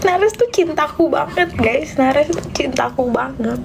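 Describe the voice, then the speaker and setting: A woman's voice: sits high, lively intonation, a little slow, vocal fry, noisy background. A young Indonesian woman answering viewer questions in a casual live stream, slipping in English phrases and sometimes dropping to a whisper. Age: young adult. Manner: chatty, mixes English phrases, drops to a whisper at times.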